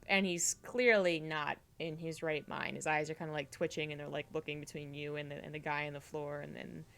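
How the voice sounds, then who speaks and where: Low-voiced woman narrating as game master. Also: vocal fry, a bit bright. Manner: rambling, many fillers and restarts. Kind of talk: narrating a tabletop horror game.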